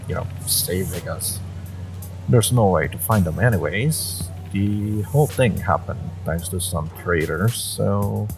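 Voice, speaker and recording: A low, slow-talking jaded driver with a secret past, acted by a man in an audio roleplay. He is wry and world-weary, speaking in hesitant, offhand bits over clearly audible music. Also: heavily acted, poor audio. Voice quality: low, gravelly. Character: jaded, evasive male Omega driver, secretly a former judge. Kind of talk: intimate conversational audio roleplay acting. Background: music and background ambience.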